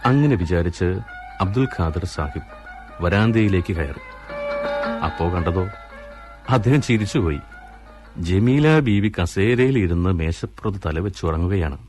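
Man, radio story narrator, very low voice, measured delivery, faint music underneath.

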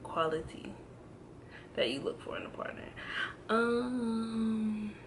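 A woman in her early twenties chatting casually to camera, answering a list of questions in a relaxed, hesitant way with many pauses and restarts. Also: a little high, background noise. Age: 20s. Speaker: vlogger. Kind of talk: casual tag video chat. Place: indoors at home, in front of a backdrop. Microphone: camera framed close, seated.

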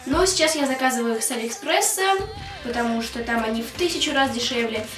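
A young teenage girl with a high voice talks in a casual, rambling vlog style. She is recorded a little away from the mic in an echoey small room, with music playing clearly behind her. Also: fast. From Russia. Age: 13 years old. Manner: informal, rambling, girlish teenage talk. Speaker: video blogger. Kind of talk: casual chatty vlog to camera. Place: small attic room at home. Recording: roomy echo, distant mic, music behind. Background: background music.

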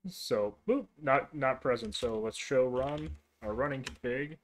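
A man hosting a casual networking tutorial, talking through commands in a relaxed way with frequent ums and restarts while typing. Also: mid-range voice, unhurried. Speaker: host of a networking tutorial channel. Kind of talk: casual screen-recorded tech tutorial.

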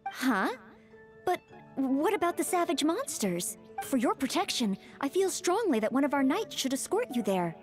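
Young maid knight, anime game, high pitch, animated, formal, helpful, music behind. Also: female voice, normal speed. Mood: earnest concern. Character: earnest, polite young maid knight, eager to serve. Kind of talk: anime game character dialogue.

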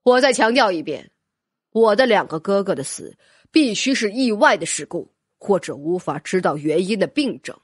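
Man voicing a ruthless young nobleman, husky. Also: high-pitched, very animated, normal speed, no room sound, studio quality, exaggerated voice. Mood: cold, menacing. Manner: cold, commanding, threatening. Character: ruthless young nobleman plotting to kill his brothers. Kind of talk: audiobook character acting.

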